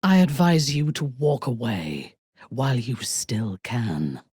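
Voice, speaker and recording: A woman playing a proud, ruthless dark elf noblewoman in a video game, speaking formally and deliberately with a sardonic, coolly menacing manner. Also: extremely low pitch, expressive, husky, no echo, clean studio sound, full character voice. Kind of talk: video game character voice acting.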